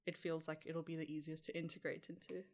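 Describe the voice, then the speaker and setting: A woman's voice: low-pitched, little pitch movement, fast. A young woman with a South African accent answering interview questions in a chatty way, laughing lightly now and then. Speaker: interview guest.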